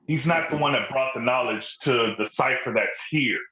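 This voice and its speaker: A man's voice: medium pitch, moderate pace, sharp tone, reverberant, rough recording. A man from Las Vegas in a casual livestream chat, speaking in an easygoing, hesitant way with many fillers.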